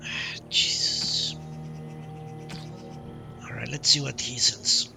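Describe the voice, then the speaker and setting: A man streams a video game and thinks aloud as he plays. His pace is slow and casual, with short muttered questions to himself. Game music plays clearly behind his voice. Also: mid-range voice, steady tone.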